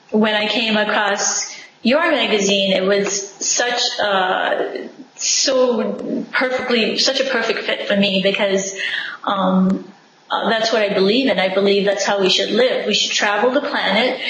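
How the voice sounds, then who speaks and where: Enthusiastic woman from Singapore on a video call. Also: mid-range voice. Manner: enthusiastic, expressive, laughs often. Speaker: interview guest. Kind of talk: casual video-call interview. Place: home living room, indoors. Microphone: video call webcam. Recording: echoey room, faint background noise.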